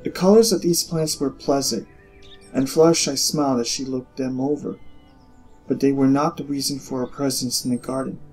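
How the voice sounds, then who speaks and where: Male storyteller narrating over soft music. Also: medium pitch, fairly even intonation, normal speed, low-quality recording. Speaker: audiobook narrator.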